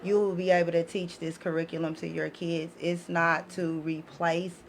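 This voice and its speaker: A woman's voice: fairly low pitch, level tone, normal speed. An American woman explaining things in a casual, emphatic way as a podcast guest, often checking that listeners follow. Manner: emphatic, punctuates points with check-in questions. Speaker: podcast guest presenting her educational book series. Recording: clearly noisy live podcast recording.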